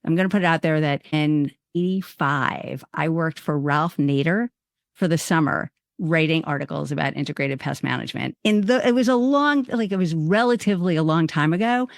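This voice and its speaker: A woman's voice: deep voice, moderate pace, creaky, dry recording, clean studio sound. A woman hosting a podcast, talking enthusiastically and rambling, restarting her sentences. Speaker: podcast host. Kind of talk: casual interview chat.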